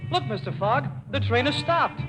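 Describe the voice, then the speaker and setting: Eager young valet, very high voice, deferential, vintage radio drama with music behind. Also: male voice, lively intonation, normal speed, low-quality recording. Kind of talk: classic radio play acting.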